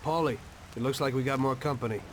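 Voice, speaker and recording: A man's voice: mid-range voice, fast talker, a little hiss or hum, natural voice. A man playing a guarded, uneasy 1930s American mobster in a video game, plain-spoken and skeptical. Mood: wary. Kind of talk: dramatic video game voice acting.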